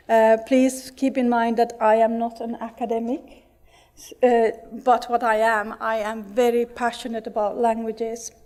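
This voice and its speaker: Woman with a Finnish accent, mellow. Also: on the high side. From Finland. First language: Finnish and Swedish. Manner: earnest, with frequent restarts and fillers. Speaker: conference speaker. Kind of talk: conference talk. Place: conference hall.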